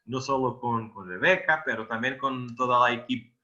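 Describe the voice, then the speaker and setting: A man with a Portuguese accent gives an expert explanation, hammering points home with repetition and searching for words now and then. His voice sounds very bright and forceful, and the audio is dull and lo-fi. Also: mid-range voice, steady tone, normal speed. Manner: emphatic, repeats words for stress, hesitant over vocabulary. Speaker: electro-aesthetics expert guest. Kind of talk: technical explanation in a video interview.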